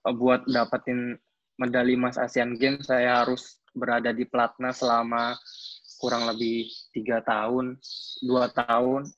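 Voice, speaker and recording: A man's voice: medium pitch, monotone, measured pace, fairly bright tone, dry recording, muffled recording. A man in his twenties giving a casual personal account at a coaching clinic, hesitant, with frequent fillers and restarts. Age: 20s. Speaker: athlete speaking at a coaching clinic.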